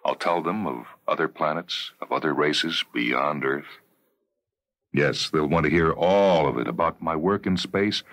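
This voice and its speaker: Very deep, husky, weary spaceman. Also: fairly bright tone, heavily acted. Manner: halting, faltering delivery. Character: dying, weary, sentimental male spaceman. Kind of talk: old-time radio drama acting.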